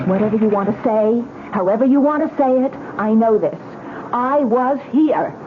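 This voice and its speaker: An actress playing a distraught young wife who pleads and insists, in old-time radio mystery style. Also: female voice, fairly high pitch, animated, moderate pace, lightly acted. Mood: defiant. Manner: pleading, emphatic repetition. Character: distraught, insistent young wife doubting her own sanity. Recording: noisy, tinny old radio broadcast.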